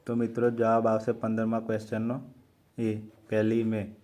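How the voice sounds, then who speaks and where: A man with a Gujarati accent hosting an exam-prep quiz, reading out questions and options slowly and carefully. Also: lowish voice, monotone, a little mellow, slight background noise. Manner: slow, measured reading of options. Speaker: quiz host for exam preparation.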